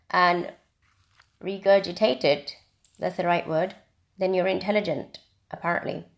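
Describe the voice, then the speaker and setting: A woman from India teaching on a live video stream in a reflective, casual way, with frequent ums and restarts. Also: medium pitch. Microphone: phone or webcam on a live video stream.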